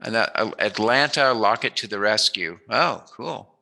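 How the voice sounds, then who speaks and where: A middle-aged man with a very bright, pressing voice, slightly low and edged with creak. He interviews casually, reminiscing with jokey, drawn-out emphasis.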